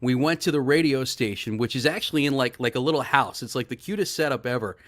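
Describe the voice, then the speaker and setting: A middle-aged man, a sports broadcaster guesting on a talk show, telling stories with animated enthusiasm. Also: mid-range voice, fast. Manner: animated, excited storyteller. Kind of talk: casual interview storytelling.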